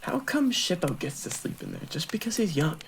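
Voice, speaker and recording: A man playing a smooth-talking, lecherous young monk in a fan audio drama, polite and mock-innocent. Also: sits high, sing-song, a little fast, slight background noise.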